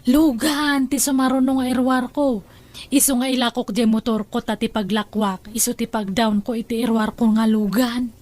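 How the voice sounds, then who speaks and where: A woman's voice: on the high side, steady tone, normal speed, darkish tone, lightly acted. A woman playing a headstrong, free-spending young adult daughter in an audio drama, defiant and casually stubborn. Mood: offhand. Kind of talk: Ilocano audio drama dialogue.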